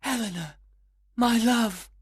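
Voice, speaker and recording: A man's voice: extremely high pitch, slow pace, breathy edge, no room sound, clean studio sound, voice pushed up, exaggerated voice. A man voicing an ardent, earnest young male lover in a storybook, romantic and eager. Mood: smitten, urgent.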